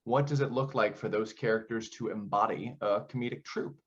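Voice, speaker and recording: A man's voice: on the low side, steady tone, normal speed, highs cut off. A man giving conversational, explanatory interview answers as a theater director, often posing questions as he goes.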